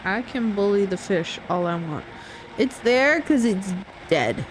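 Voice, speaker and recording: A woman's voice: medium pitch, animated, measured pace, a little hiss or hum. A woman livestreaming a game with casual, blunt short reactions, often echoing the dialogue.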